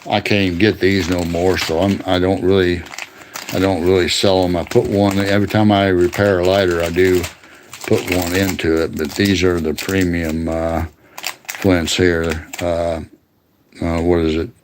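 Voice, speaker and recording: A man with a deep voice chatting casually and in a folksy, colloquial way as he hosts a hands-on demonstration of lighter repair. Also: level tone, normal speed, noisy background. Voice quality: gravelly. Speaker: host of a lighter repair livestream.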